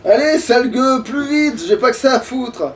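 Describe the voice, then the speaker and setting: A man's voice: extremely high pitch, expressive, normal speed. A man chatting casually and swearing in slang as he hosts a video game playthrough. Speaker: let's play host. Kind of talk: casual gaming commentary with a co-player.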